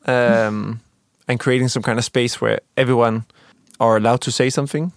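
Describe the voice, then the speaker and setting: Young guy on a podcast, calm explainer, dry humor, mid pitch, no room sound. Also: moderate pace, dry recording. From Denmark. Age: young adult. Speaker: podcast co-host. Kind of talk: casual podcast chat.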